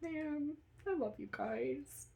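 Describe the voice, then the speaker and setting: A woman as a tearful, gravelly assistant manager. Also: high voice, warm tone, exaggerated voice. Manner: tearful, breathy, halting. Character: emotional young woman assistant bank manager.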